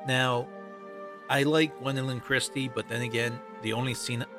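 A man gives solo fan commentary as a podcast host, with music clearly playing behind him. His pitch sits in a middle range, and he makes his points emphatically, often repeating them.

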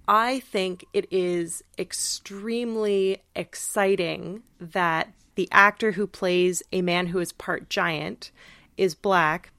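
A woman's voice: medium pitch, a bit bright, clear, resonant tone, no room sound, clean studio sound. A woman co-hosting a podcast in casual, informal discussion, often checking for agreement as she explains. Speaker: podcast co-host.

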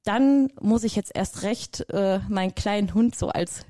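A woman's voice: mid-range voice, a little slow, warm tone, no room sound, studio quality. A woman from Germany, a guest in an interview, talking casually and emphatically, often repeating words for stress. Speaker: guest, personnel developer.

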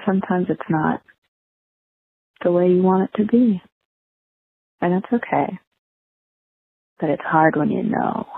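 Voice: medium pitch, gravelly. A caller leaves a hesitant, reflective personal voicemail. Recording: tinny phone line with faint noise.

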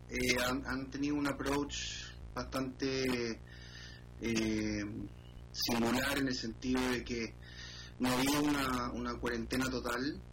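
A Chilean man answers a radio interviewer over a remote line, speaking evenly with little melody. He is measured and hesitant, full of small fillers, with a faint noise behind him. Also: medium pitch, fairly bright tone, rough recording. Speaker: guest, financial columnist.

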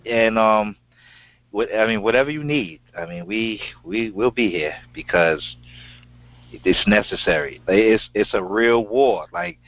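Man, middle-aged, deep voice, slangy and emphatic, repeats himself, unscripted show chat, slightly muffled dry audio. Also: lively intonation, a little slow, no room sound. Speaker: show co-host. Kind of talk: casual, unscripted conversation.

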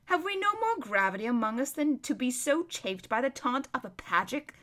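Female reader as fatherly storybook king. Firm, courtly, chiding, stately delivery, bright and commanding. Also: high voice, sing-song, moderate pace. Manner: stately, old-fashioned courtly speech. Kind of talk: storybook read-aloud.

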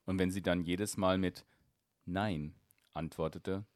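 A man with a very deep voice reads a fairy tale aloud at a calm, measured pace. The sound is dry and close, with no room around it. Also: dry recording. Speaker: storyteller.

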